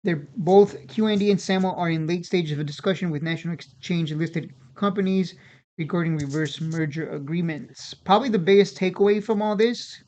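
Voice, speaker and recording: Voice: medium pitch, a little fast, darkish tone. A stock video host giving casual, unscripted market commentary in an informal way, often repeating and restarting phrases.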